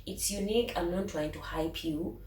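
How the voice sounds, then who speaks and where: A low-voiced woman from Uganda gives lively, emphatic reaction commentary. She sits back from the mic, and the room echoes around her. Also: moderate pace, distant mic. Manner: enthusiastic, emphatic. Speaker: reaction channel host.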